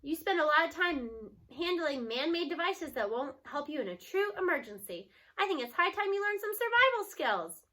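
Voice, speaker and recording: Woman playing a feisty, high-pitched bossy grandma. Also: big pitch swings, bright, forceful tone, exaggerated voice. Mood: playful, knowing. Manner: brisk, playful commands.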